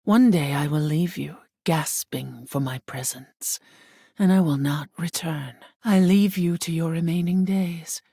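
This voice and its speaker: Breathy, haughty goddess of air. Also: female voice, fairly low pitch, dark tone, no room sound, studio quality. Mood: weary, offended. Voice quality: breathy, often sinking into whisper. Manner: solemn, imperious, formal and archaic phrasing. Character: haughty, ethereal goddess of air, stern and easily wearied. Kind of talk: scripted video game character acting.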